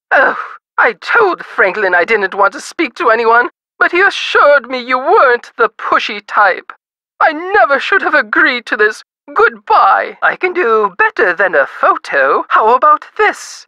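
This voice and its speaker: An actress voicing a haughty, fussy rich society matron in a video game, with theatrical, imperious grand diction. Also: female voice, high-pitched, sharp tone, dry recording, full character voice. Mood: fretful, put out.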